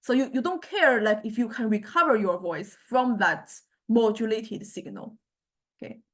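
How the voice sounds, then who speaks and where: Halting woman lecturer with a higher-pitched voice. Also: expressive. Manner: halting, searching for words, frequent checking "right?". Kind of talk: explanatory lecture, informal and unscripted.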